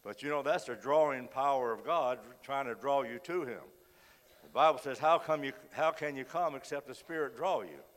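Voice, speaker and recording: Hoarse male preacher in a reverberant church. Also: mid-range voice, moderate pace, a bit bright. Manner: frequent amens, earnest preaching. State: hoarse from a cold. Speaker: guest preacher. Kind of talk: sermon with scripture reading. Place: church sanctuary. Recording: long reverb of a church hall.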